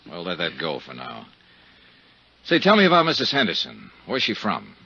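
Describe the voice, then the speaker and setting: An actor playing a tough, shrewd insurance investigator with a sardonic, probing manner, in 1950s radio drama style. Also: male voice, sits low, huge pitch swings, normal speed, vocal fry, pushed and bright, husky, full character voice. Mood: probing, intent. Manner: sardonic, probing, hard-boiled. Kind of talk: 1950s radio drama acting and first-person narration. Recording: dull 1950s radio recording.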